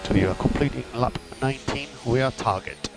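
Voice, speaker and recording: A man's voice: mid-range voice, sing-song, a little fast, creaky. A man working as a race engineer, giving terse, matter-of-fact technical updates in clipped phrases over team radio. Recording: noisy radio link with mechanical hum.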